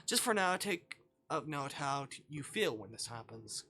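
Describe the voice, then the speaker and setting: A streamer of ambiguous gender voicing an attentive, professional therapist in a visual novel, with measured, instructive phrasing. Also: medium pitch, big pitch swings, pushed and bright, husky, soft background music, full character voice.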